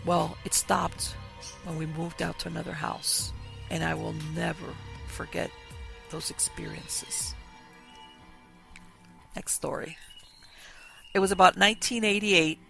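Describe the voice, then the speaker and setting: A man narrates ghost stories at a measured pace, his voice thick with gravelly fry and sitting slightly above a typical male pitch. Quiet music plays softly underneath. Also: fairly even intonation. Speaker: podcast host reading listener stories.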